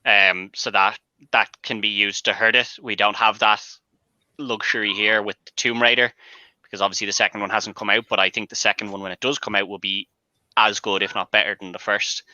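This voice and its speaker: Male panel guest, fairly low but very sharp voice, chatting casually about films. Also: little pitch movement, a little fast, no room sound, dull audio. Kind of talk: casual film debate.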